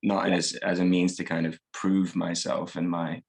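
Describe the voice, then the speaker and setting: A man in his thirties with a slightly hoarse voice, reflecting at an easy pace in a casual group discussion, with many fillers. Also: sits low, little pitch movement, moderate pace. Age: 30s. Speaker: discussion participant.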